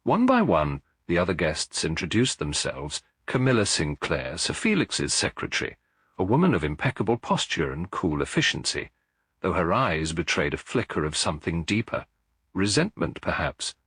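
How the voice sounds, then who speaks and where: A man reading a scripted mystery story as an audiobook narrator, in a measured, formal, dramatic storytelling manner. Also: low-pitched, sing-song, a bit bright, husky, no room sound, studio quality.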